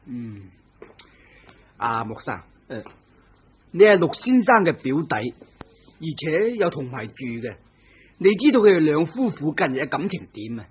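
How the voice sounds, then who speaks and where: Stern radio-drama inspector, husky, lo-fi old broadcast sound. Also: male voice, fairly high pitch, very animated, a little slow, long pauses, full character voice. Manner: firm, pressing interrogation. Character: stern probing male police inspector. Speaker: police inspector. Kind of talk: vintage radio drama acting. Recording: dull lo-fi old radio recording.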